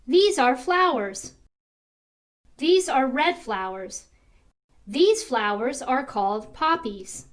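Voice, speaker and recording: Very high, childlike little mouse puppet. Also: female voice, expressive, slow pace, pauses often. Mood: delighted. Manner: exclaiming, simple repetitive teaching phrases. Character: small cheerful curious mouse puppet, childlike. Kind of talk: slow, simple puppet show for toddlers.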